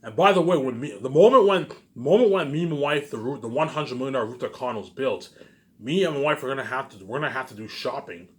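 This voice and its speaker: A man's voice: mid-range voice, expressive, quick pace. A man talking off the cuff in a casual, rambling monologue, circling back over the same phrases and tossing in casual swearing and tag questions.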